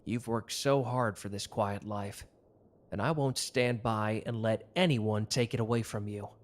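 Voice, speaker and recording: A man voicing a protective young male mafia member in an ASMR roleplay, speaking softly and reassuringly. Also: lowish voice, steady tone, unhurried, lightly acted. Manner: soft, intimate, reassuring.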